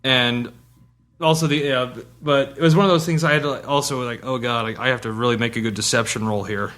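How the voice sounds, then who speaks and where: A man in casual chat as a tabletop player, excitable and halting, often starting his sentences over. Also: medium pitch. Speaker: podcast guest, tabletop player.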